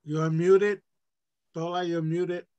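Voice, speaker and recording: A man's voice: fairly high pitch, level tone, takes it slowly, a little mellow, clear, resonant tone, dry recording, muffled recording. A man hosting a group meeting on a video call, introducing members one by one in an informal way. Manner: informal, with fillers. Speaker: meeting host. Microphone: computer mic on a video call.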